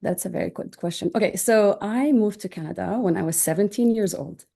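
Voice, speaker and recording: A woman's voice: medium pitch, brisk, vocal fry, warm tone, slightly rough. A woman in her thirties from Jordan, answering interview questions in an upbeat, informal, chatty way. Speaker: interview guest, online business owner.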